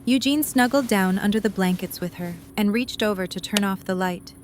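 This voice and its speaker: A woman's voice: medium pitch, moderate pace, slight background noise. A woman narrating a scripted story as the narrator of an audio drama.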